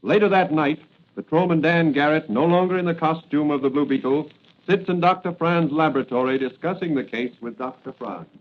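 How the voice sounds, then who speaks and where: Grave male old-time radio narrator. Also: fairly high pitch. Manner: grave, portentous, measured. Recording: muffled vintage radio recording.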